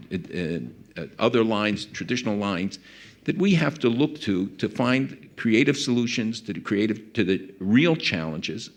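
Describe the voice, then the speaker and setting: A man speaking as a panelist at a conference, earnest in tone, his voice climbing high when he recounts conversations. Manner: earnest, rises sharply when recounting dialogue. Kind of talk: panel discussion remarks. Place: conference hall.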